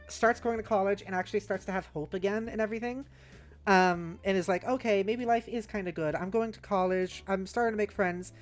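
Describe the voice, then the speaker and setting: A woman livestreaming casually, thinking aloud in loose fragments and chatting informally with her viewers in a playful way. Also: mid-range voice. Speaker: livestreamer host. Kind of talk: casual livestream brainstorming, reading chat aloud. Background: background music.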